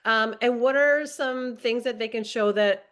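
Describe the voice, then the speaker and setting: A woman on a panel giving practical marketing advice in a steady, conversational way. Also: on the high side, unhurried, clear, resonant tone. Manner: advising, tip-giving, steady with fillers. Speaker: social media marketer, panelist. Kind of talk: casual panel discussion.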